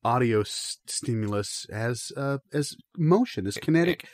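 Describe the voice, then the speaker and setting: A man playing an earnest, pretentious stage performer in a deadpan improvised interview, hesitant and rambling. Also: medium pitch, unhurried, no echo, clean studio sound, close to an everyday voice. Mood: deadpan explaining. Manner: hesitant, rambling, self-serious. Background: guitar music.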